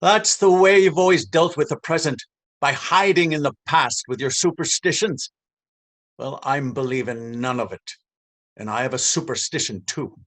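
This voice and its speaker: A man's voice: fairly high pitch, moderate pace, a bit bright, lightly acted. An actor playing a bullying, sceptical Dublin policeman in a stage reading, mocking and officious. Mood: scornful. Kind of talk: stage readers theater acting.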